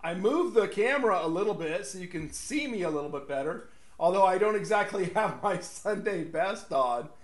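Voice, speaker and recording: A middle-aged American man hosting a casual solo radio show, rambling and folksy, with a slightly hoarse voice. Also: sits high, lively intonation, moderate pace. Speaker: radio and podcast host.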